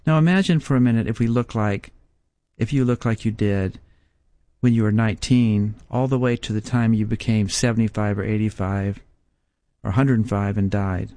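A man in his fifties giving a sermon as a Bible teacher, speaking slowly and emphatically with repeated rhetorical questions. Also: fairly low pitch, fairly even intonation, dark tone, no echo. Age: 50s.

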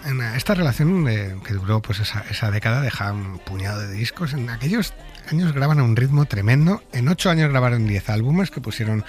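A man's voice: medium pitch, lively intonation, fairly quick, darkish tone. A man with a Castilian accent from Spain hosting a music programme, telling stories and asking questions conversationally. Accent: Spanish, from Spain. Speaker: radio music show host. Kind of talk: conversational music storytelling and interviewing. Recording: music playing behind the voice. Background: background music.

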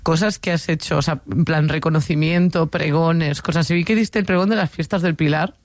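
A woman's voice: deep voice, fairly quick, no room sound. A woman hosting a casual interview who asks long, rambling questions in a chatty way. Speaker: interviewer, host.

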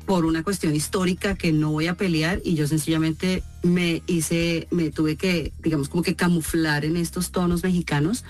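A Colombian woman, a voice actress, answering interview questions in an expressive storytelling way. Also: lowish voice, moderate pace, hardly any pauses, soft background music. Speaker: voice actress guest.

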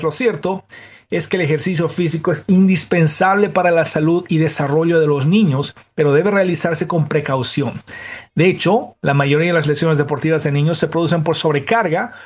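A man with a somewhat higher voice hosts a medical talk show. He delivers health information in a measured, even way and then welcomes his guest warmly. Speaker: radio show host, doctor.

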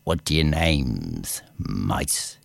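Man voicing a storybook king of cats, gruff, commanding, low gravelly voice, slow. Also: very animated, dry recording, full character voice. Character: wily old man turned king of cats.

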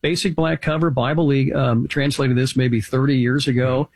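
A man's voice: mid-range voice, fairly even intonation, moderate pace, no echo. A man hosting a radio show, telling an earnest story and tagging his points with "right?". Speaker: radio host. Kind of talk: radio appeal conversation. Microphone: studio microphone. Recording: dry radio studio.

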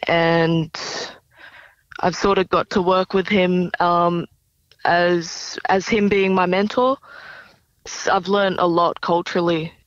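A young woman answers interview questions slowly and hesitantly, with plenty of fillers. Her voice sits a little low. It comes through a dull remote line with little room sound. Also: little pitch movement, no echo. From Australia. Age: young adult. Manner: soft-spoken, hesitant, with many fillers. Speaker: singer-songwriter guest. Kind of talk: radio interview.

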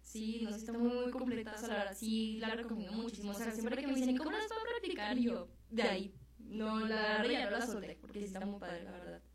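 A woman's voice: fairly high pitch, fast talker, heavy reverb, distant mic. A young woman, a student guest chatting in a radio interview with lots of fillers. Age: young adult.

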